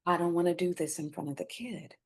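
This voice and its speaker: A woman's voice: fairly low pitch, quick pace, a little mellow. A woman playing a guarded, burdened wife and determined community organizer in a screenplay reading. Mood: withdrawn. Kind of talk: live staged screenplay reading.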